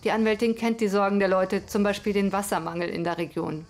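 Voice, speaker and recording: A woman's voice: mid-range voice, fairly even intonation, fast talker. A woman reading scripted narration for a television news report. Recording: voice over music bed. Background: music.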